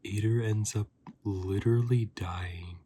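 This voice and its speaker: A man giving a softly spoken ASMR review, hushed and sometimes slipping into whispers. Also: lowish voice, steady tone, a little slow, slightly rough. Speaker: host of an ASMR review channel.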